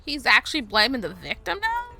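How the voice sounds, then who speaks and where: A woman streamer giving casual, excitable, exclamatory reactions as she plays a game. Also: on the high side, fairly quick, faint music behind. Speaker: gaming streamer. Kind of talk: casual gameplay reaction commentary.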